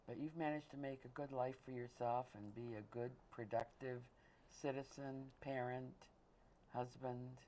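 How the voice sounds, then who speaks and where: A woman born in Austria hosting an interview, asking questions in a measured, earnest way. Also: extremely low pitch, steady tone, mellow, poor audio. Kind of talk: community TV interview.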